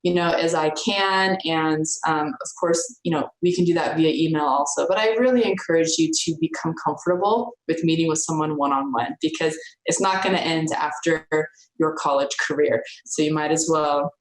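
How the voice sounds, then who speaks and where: A woman's voice: deep voice, moderate pace. A woman college instructor talking informally to students, earnest and encouraging, often repeating words as she restarts. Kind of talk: informal talk to students about office hours. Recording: roomy echo.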